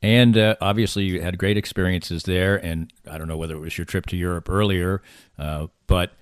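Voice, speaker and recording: A man's voice: low voice, fairly even intonation, brisk, clean tone, dry recording, clean studio sound. An American man hosting a conversational interview, asking questions and telling anecdotes in an easygoing way. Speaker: interviewer, host.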